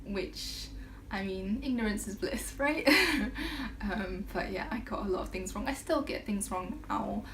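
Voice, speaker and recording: A woman's voice: mid-range voice, measured pace. Chatty young woman vlogging, noisy background. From United Kingdom. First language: half Brazilian, Portuguese spoken at home. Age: 20s. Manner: chatty and rambling, many "um" fillers, soft laughs. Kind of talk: casual chat to camera. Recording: noticeable background noise.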